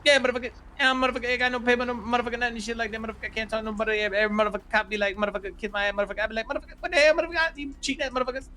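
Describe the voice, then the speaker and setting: A man doing a high, fast-talking foul-mouthed ex-con. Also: fairly even intonation, pushed and bright, a little hiss or hum. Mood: rambling. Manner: swears constantly, rambling and halting. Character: profane rambling streetwise ex-convict man. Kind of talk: online roleplay game character.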